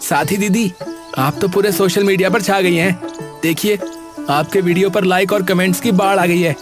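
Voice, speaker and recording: An actor playing an excited, admiring young village lad in a cartoon, with an enthusiastic manner. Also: male voice, high-pitched, normal speed, music in the background, close to an everyday voice.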